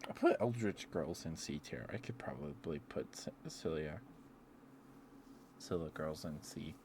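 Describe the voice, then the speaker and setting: A man's voice: very deep voice, expressive, unhurried, noisy background. A man streaming casual, rambling ASMR chat, musing aloud hesitantly and letting thoughts trail off.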